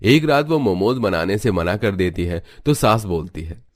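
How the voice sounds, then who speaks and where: Low-pitched man narrating a cartoon story. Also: animated, moderate pace.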